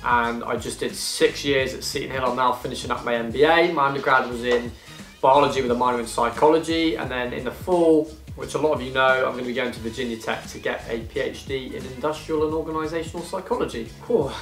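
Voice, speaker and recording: A man's voice: medium pitch, quick pace, fairly bright tone, reverberant, soft background music. Chatty young man from England. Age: 20s. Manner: rambling, self-deprecating, chatty while eating. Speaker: YouTuber. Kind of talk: casual vlog Q and A.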